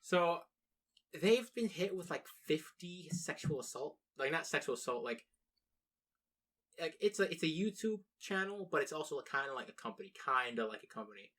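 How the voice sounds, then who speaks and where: A man's voice: a little high, lively intonation, normal speed, fairly bright tone, slight background noise. A young man from the United States, co-hosting a casual podcast chat, rambling with restarts and plenty of swearing. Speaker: podcast co-host.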